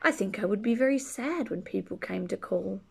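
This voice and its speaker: A woman reading a rhyming children's book aloud expressively, drawing out key words and chatting gently to young listeners. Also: medium pitch, gravelly, slightly rough. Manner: animated, drawn-out key words, gentle questioning asides. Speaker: storybook reader reading to children.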